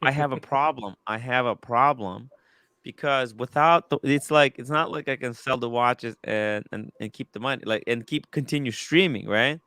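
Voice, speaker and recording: A man in his early thirties hosts a casual chat, talking in an excitable rush that breaks into restarts and swearing. His pitch sits in a middle range. The sound is dry and close. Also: normal speed, clear, resonant tone, no room sound. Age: 30s. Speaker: host.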